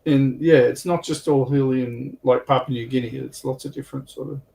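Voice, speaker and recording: A man's voice: mid-range voice, fairly even intonation, a little fast, gravelly, a little mellow. A man with a mid-range voice, heard a little away from the microphone. He chats casually as a livestream panelist, in an informal, anecdotal way. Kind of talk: casual chat about military history and tabletop wargaming. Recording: distant microphone.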